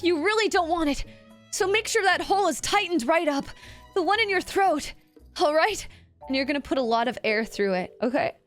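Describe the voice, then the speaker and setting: A woman's voice: very high-pitched, animated, moderate pace, faint music behind. A young woman streamer, giving a chatty, giggly voice lesson to her chat and swearing casually. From Canada.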